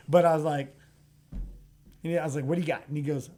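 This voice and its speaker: A man's voice: a little high, a little fast, faint music behind. A man from Texas, a guest on a community radio show, chatting casually and acting out quoted dialogue as he tells stories, with frequent restarts. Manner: animated storyteller who acts out quoted dialogue, stumbles and restarts. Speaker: wine bar owner, guest. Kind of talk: casual radio interview chat. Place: radio studio.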